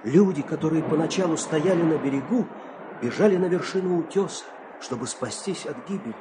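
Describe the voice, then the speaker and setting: A man narrating a fairy tale dramatically, expressive, with measured pauses. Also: a little high, noisy background.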